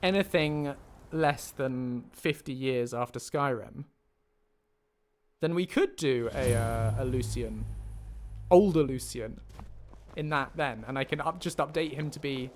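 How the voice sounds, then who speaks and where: A man's voice: mid-range voice, measured pace, slight background noise. A man livestreaming casually over a game, chatting and thinking aloud as he plays. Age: younger than 50. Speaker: livestreamer, mod creator and voice actor. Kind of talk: casual livestream chat while playing a game. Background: video game music and character dialogue.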